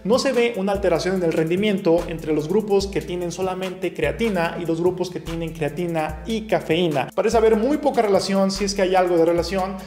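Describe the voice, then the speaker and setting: A young man, a nutrition educator, speaking to camera in a small home room and working through common questions one by one in a clear, orderly way. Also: sits high, brisk, hardly any pauses, a little mellow, roomy sound, soft background music. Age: young adult. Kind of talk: explainer video to camera. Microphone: camera facing him at close range.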